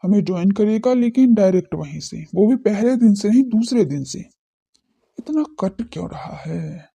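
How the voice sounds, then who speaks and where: महिला की आवाज़ में दबा, भारी-सा चिंतित युवक. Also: female voice, medium pitch, expressive, normal speed, vocal fry, warm tone, breathy edge. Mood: brooding, puzzled. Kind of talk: audio drama character dialogue.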